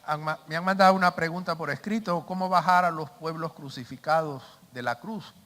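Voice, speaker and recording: A man's voice: fairly high pitch, fairly quick, a bit bright. An older man, an invited speaker answering audience questions in an emphatic, explanatory way. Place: large conference hall. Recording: echoey hall, background noise.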